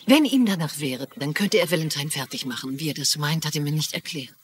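A woman's voice: sits low, a little fast, natural voice. An actress playing a bitter, worried ex-wife and mother, speaking emotionally in a dubbed TV crime drama. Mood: confiding.

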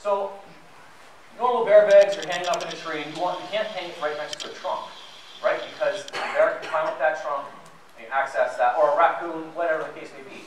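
A man's voice: fairly high pitch, fairly even intonation, a little fast. A man giving an informal, emphatic, conversational talk to an audience about gear, as a presenter. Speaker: presenter at an outdoor gear show. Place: large darkened presentation room, recorded from the audience. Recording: distant, very echoey hall, noisy.

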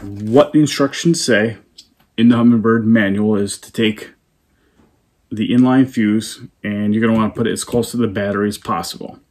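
A man in his thirties with a husky voice, calmly talking himself through a hands-on install at a table indoors, often half under his breath. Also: fairly low pitch, normal speed. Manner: relaxed, muttering step by step, often half-whispered. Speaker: fishing channel host. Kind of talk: casual how-to narration while working.

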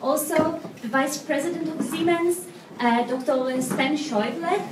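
A woman host introducing panel speakers on stage with upbeat announcements and filler pauses. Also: high voice, heavy background noise. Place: event hall. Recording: echoey hall, voice from far off.

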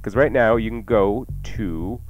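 A man's voice: lowish voice, measured pace, muffled recording. A man hosting a radio cooking show, talking in a casual, chatty, colloquial way. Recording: radio broadcast with music.

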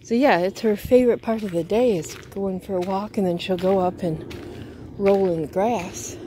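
A woman you would hear on a homemade walking vlog, rambling to her handheld camera outside, with plenty of outdoor noise around her mid-pitched voice. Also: moderate pace, mellow. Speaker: vlogger. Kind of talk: casual walking vlog chat. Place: outdoors on a wooded gravel driveway and yard. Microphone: handheld phone or camera. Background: clear outdoor ambient noise.

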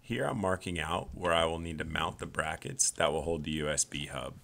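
A man's voice: extremely low pitch, brisk, creaky, a bit bright. A man in his thirties narrating his woodworking build in a plain, step-by-step voice-over. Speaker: woodworking channel host.

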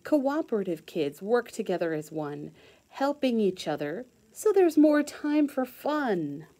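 A woman teacher reading a children's storybook aloud in an expressive sing-song way with clear emphasis. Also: on the high side, unhurried.

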